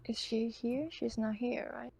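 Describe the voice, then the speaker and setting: Slow, even-toned woman with higher voice. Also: vocal fry, breathy edge. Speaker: tabletop game player. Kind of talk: in-character role-play dialogue and table chat.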